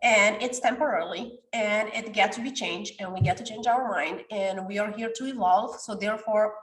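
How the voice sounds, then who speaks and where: A woman's voice: mid-range voice, normal speed, roomy sound, rough recording. A woman in her forties from Romania, a coach talking animatedly in a podcast interview and sometimes searching for words. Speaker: guest, coach.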